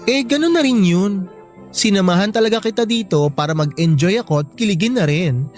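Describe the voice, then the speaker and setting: Woman playing a lively, effeminate young male friend. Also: medium pitch, brisk, faint music behind, lightly acted. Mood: giddy. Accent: Filipino. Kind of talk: Filipino radio drama acting.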